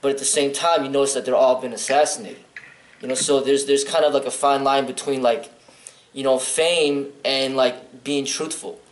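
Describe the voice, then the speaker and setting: A man's voice: mid-range voice, steady tone, normal speed, roomy sound, poor audio. A man from Chicago, a martial arts instructor, answering interview questions bluntly and earnestly, rambling with restarts and "um". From Chicago, United States.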